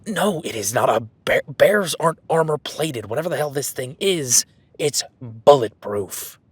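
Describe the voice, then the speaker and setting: A man playing a gruff cowboy hunter in an audio roleplay, whispering while stalking and swearing and giving orders in a crisis. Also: mid-range voice, animated, a little slow, dry recording. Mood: urgent, alarmed. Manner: swearing, commanding, urgent. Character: gruff rugged cowboy hunter tracking a beast. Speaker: ranch hand tracking a beast.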